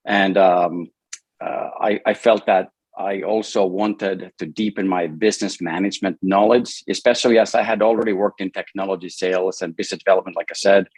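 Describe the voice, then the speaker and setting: A man's voice: low-pitched, steady tone, brisk, a little mellow, husky, no echo. A man from Finland, a sales director and alumnus, answering interview questions over a video call, thoughtful and hesitant, with many fillers and restarts.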